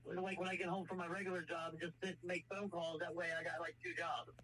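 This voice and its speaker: A woman on a casual phone call, explaining her situation in a halting way. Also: fairly low pitch, little pitch movement, a bit bright, a little hiss or hum. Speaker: caller seeking work.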